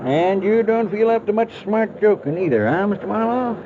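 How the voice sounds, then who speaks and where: A folksy, easygoing eccentric, chats in a colloquial way. His voice is notably high for a man. It is vintage radio acting, muffled, with clear noise behind it. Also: expressive, moderate pace. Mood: folksy, curious. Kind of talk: 1940s radio drama acting.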